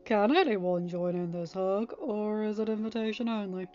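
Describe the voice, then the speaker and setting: A woman's voice: medium pitch, moderate pace, darkish tone, highs cut off, natural voice. A woman voicing an affectionate, fatherly man in an audiobook story, casual, teasing and reassuring.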